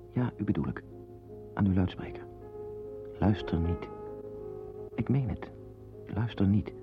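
An actor playing a nervous, reclusive henpecked husband in a radio play, with a hesitant, apologetic, wry manner. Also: male voice, low voice, creaky. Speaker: radio play narrator and lead. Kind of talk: radio drama monologue and dialogue. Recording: dull lo-fi radio play, music behind.

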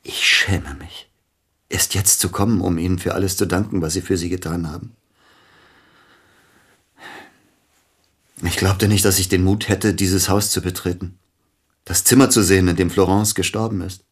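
A very low, husky male voice for a radio play: a widowed concert pianist haunted by guilt. He speaks formally and haltingly, pressing insistently for answers. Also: steady tone. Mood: subdued, ashamed. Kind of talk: German radio drama acting.

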